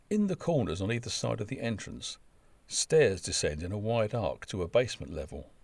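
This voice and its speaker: Low voiced guy narrating a travel story, very animated pitch, dry humour, close dry recording. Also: vocal fry, dry recording. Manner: wry, dry-humoured, wordy descriptive delivery.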